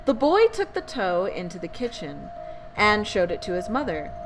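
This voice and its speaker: Theatrical woman storyteller with lively, swooping pitch. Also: moderate pace, noisy background. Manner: theatrical, suspenseful. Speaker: storyteller, host of a bedtime story series. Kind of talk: dramatic storybook narration of scary tales. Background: background music.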